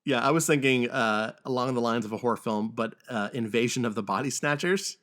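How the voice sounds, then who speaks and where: A man hosting a casual film discussion podcast, who speaks haltingly and often repeats words as he goes. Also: medium pitch, fairly bright tone. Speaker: podcast host.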